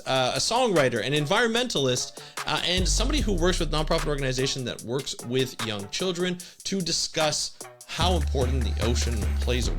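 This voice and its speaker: A man from Canada hosting an ocean podcast, introducing the episode and his guest with upbeat enthusiasm. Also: a little high. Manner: upbeat and enthusiastic, with some repeated words. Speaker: podcast host. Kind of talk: scripted podcast intro and interview.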